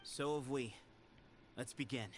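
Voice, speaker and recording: A man's voice: mid-range voice, measured pace, slight background noise, natural voice. An actor playing a confident young male duelist in a game. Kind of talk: game character dialogue.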